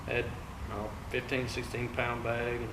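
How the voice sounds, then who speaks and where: A man from East Texas with an East Texas accent, a guest angler talking casually in a laid-back, halting way full of fillers. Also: mid-range voice, level tone. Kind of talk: casual chat. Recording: echoey room with loud ambient noise.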